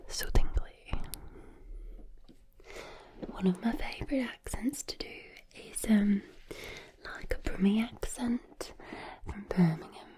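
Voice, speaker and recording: A woman from Glasgow with a Scottish accent, whispering in a soothing, informal ASMR style with a breathy, unvoiced voice. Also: mid-range voice, measured pace. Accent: Glaswegian Scottish. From Glasgow, Scotland. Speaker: ASMR content creator.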